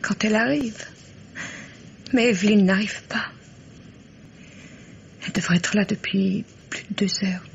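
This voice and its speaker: Radio drama: anxious ailing mother, middle pitch, hesitant, lo-fi sound. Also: a little slow, creaky, long pauses. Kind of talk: 1950s French radio mystery acting. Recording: dull, noisy vintage radio recording.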